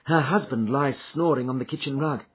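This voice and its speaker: A man reading an audiobook voices an anxious young governess woman, with a husky tone. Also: medium pitch, brisk, muffled recording, pitched above the performer's own voice, lightly acted. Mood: tense. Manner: urgent, tense.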